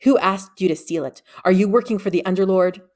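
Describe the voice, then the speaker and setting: A woman's voice: mid-range voice, brisk, lightly acted. A woman voicing a stern, menacing female guard captain in a storybook reading. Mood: curt suspicious. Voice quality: soft, menacing.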